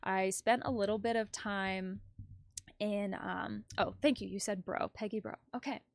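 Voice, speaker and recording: A woman's voice: mid-range voice, fairly even intonation, measured pace, vocal fry, no echo. Chatty woman livestreamer in a dry, close room. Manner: rambling, chatty, thinking aloud. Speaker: livestream host. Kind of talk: casual livestream news commentary, reading headlines aloud.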